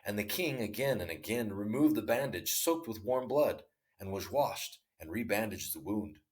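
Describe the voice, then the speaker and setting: A man narrating a classic short story as a steady, measured read-aloud. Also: on the low side. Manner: steady, measured reading; casual outro to viewers. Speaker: storyteller and channel host.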